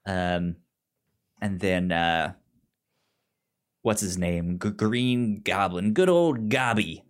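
A man co-hosting a film podcast, chatting casually and haltingly as he searches for words. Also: low voice. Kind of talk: casual film discussion and recommendations.

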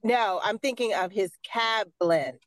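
A woman's voice: medium pitch, a little slow, a loud crowd. A woman who runs a wine club, explaining the wines and member discounts in a casual, businesslike way. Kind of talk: casual podcast chat.

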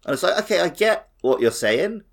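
A man co-hosting a gaming podcast, giving his opinions in an animated, casual way. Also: on the high side, brisk, creaky. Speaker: podcast co-host. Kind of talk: casual opinionated game chat.

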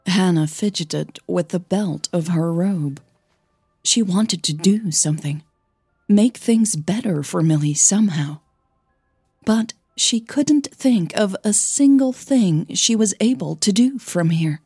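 A woman narrating scripted audio fiction in a measured, dramatic storytelling manner. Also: fairly low pitch, mellow, slightly rough, dry recording, studio quality, soft background music.

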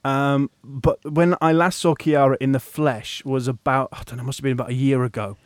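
A man's voice: medium pitch, steady tone, fairly quick, no echo, studio quality. A British man co-hosting a podcast, telling jokey, teasing stories in casual banter. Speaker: podcast co-host, radio presenter.

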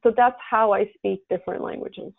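A woman's voice: mid-range voice, fairly even intonation, brisk, creaky. A woman from the United States explaining things earnestly, with examples, as an advisor being interviewed. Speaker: interview guest, estate planning and tax advisor. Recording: thin, narrow phone-line sound.

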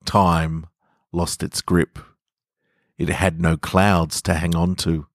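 Low male voice, podcast storyteller, measured phrasing, really dry sound. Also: level tone, vocal fry, dry recording. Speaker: podcast host and story reader. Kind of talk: literary story narration and informal sign-off.

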